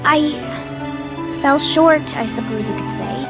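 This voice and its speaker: High-voiced, flustered young woman. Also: slow pace. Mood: sheepish. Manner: hesitant, stammering. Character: timid, flustered young woman, programmed and eager to please. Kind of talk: anime game voice acting. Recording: music behind the voice.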